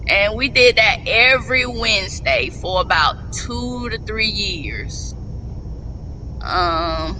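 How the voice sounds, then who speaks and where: A woman's voice: mid-range voice, pushed and bright, a little hiss or hum, poor audio. A middle-aged woman, a business owner, talks plainly as a guest over a video call from indoors. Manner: slow, plainspoken, spells out names. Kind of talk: casual interview. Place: indoors at home, seated. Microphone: video call webcam.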